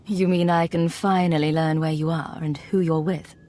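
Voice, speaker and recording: An actress playing a self-possessed imperial secret agent in a game, speaking in a composed, crisp, dry manner. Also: female voice, deep voice, little pitch movement, normal speed, mellow, natural voice. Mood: cool, dry. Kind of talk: video game drama acting.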